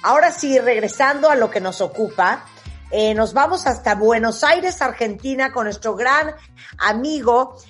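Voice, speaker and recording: A woman's voice: on the high side, a little slow, slightly rough. Woman, Mexican accent, radio host, emphatic, faint music behind. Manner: lively, emphatic, reads ads. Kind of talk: radio talk show hosting.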